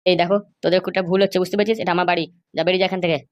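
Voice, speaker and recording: Woman voicing a fast-talking angry old grandfather. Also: lowish voice, little pitch movement, dull audio, close to an everyday voice. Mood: indignant. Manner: scolding, threatening. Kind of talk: amateur comedy cartoon dub.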